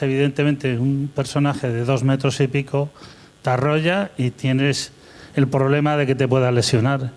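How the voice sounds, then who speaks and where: A man's voice: medium pitch, a little mellow. A man in his sixties, a former handball player, steadily explaining things on a panel. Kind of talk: panel reminiscence. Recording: long reverb of a hall.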